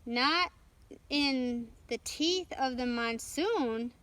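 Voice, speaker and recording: A woman voicing an incredulous, flustered male ship's master with a halting manner in a storybook. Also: high-pitched, a bit bright, no room sound, exaggerated voice. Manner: halting, disbelieving. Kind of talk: children's storybook read-aloud.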